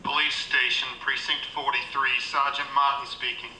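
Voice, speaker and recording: A man's voice: mid-range voice, level tone, moderate pace, pushed and bright, reverberant, slight background noise, rough recording. A man playing an unimpressed, placating police desk sergeant in a radio thriller, offhand and patronizing. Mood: bored. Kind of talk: theatrical radio thriller acting.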